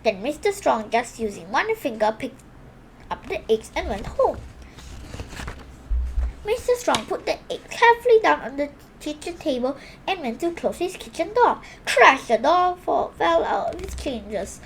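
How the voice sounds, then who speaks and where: A woman's voice: high-pitched, big pitch swings, unhurried, a little hiss or hum. A woman reading a children's picture book aloud expressively, exclaiming the sound words and sometimes stumbling and correcting herself. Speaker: storybook reader for a children's video channel.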